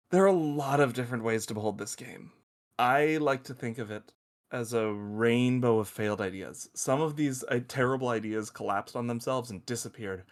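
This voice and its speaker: A man's voice: mid-range voice, natural voice. A man playing a crude, teasing space probe who loves football and shows off as he explains, in a table-read audio drama. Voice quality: animated, swooping delivery. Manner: foul-mouthed, teasing, showy explainer.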